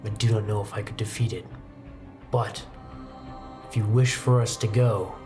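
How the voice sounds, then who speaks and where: A man's voice: on the low side, fairly even intonation, normal speed, recorded from a distance, natural voice. A man voicing a stern, grieving sword master in a tabletop game, restrained and grave, with music behind him. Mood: resigned.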